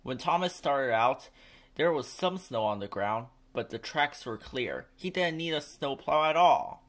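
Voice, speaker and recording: A man's voice: medium pitch, expressive, a little slow, fairly bright tone. A man narrating a children's storybook as a read-aloud.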